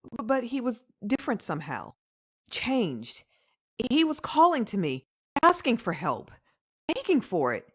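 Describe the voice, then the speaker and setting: A woman playing a distraught young wife shaken by a dream, halting and emotional, in a dramatic comic dub. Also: on the high side, huge pitch swings, a bit bright, husky, heavily acted. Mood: shaken, bewildered.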